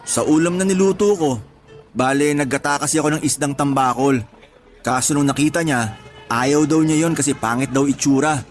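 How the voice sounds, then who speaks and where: A put-upon young tricycle driver, acted by a man with a fairly high voice. He is doting yet exasperated, pleading his way through a radio love drama. Light music plays underneath. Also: normal speed, natural voice. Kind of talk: Tagalog radio drama acting.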